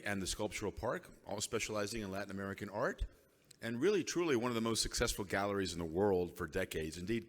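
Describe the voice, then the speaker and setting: A fairly low-voiced male emcee introduces an honoree at a gala, emphatic and building his lines up. The sound carries through a PA with long hall reverb. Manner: emphatic, building to a rousing crescendo. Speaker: master of ceremonies. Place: large banquet hall.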